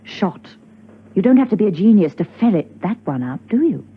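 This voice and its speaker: A woman's voice: mid-range voice, very animated, moderate pace, highs cut off, natural voice. An actress playing a cool, teasing, nonchalant female spy in a radio drama, with a dry, wry manner. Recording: band-limited, noisy old radio recording.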